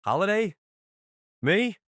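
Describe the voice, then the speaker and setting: Touchy tough mobster boss, gruff slang, blustery and indignant, crime comic stuff, dry close sound. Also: male voice, medium pitch, animated, a little slow, a bit bright, no room sound. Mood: alarmed, pleading. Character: blustering male mob boss, irritable, tough. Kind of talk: dramatized crime comic acting.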